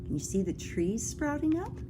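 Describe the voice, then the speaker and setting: Animated, high-voiced woman reading to children. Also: background noise. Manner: expressive, dropping into whispers for suspense; pausing to ask the listening children questions. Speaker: school librarian or teacher reading to children. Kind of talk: animated picture-book read-aloud for young children.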